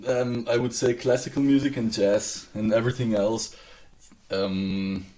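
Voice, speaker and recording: A young man from Belgium, a guest musician in a casual interview, speaking in a relaxed way with hesitant fillers. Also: on the low side, unhurried.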